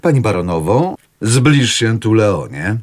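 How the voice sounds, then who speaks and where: An actor playing a pompous, meddling newspaper editor who lectures and gives advice in a radio play. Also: male voice, fairly low pitch, sing-song, measured pace. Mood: lecturing. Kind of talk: radio drama acting.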